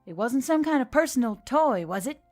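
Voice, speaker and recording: A woman's voice: high voice, expressive, brisk, a little mellow, soft background music, voice pushed up. A woman playing a flippant, sarcastic young female adventurer in a fantasy audiobook, blunt and teasing. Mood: amused, flippant. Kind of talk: scripted audiobook character acting.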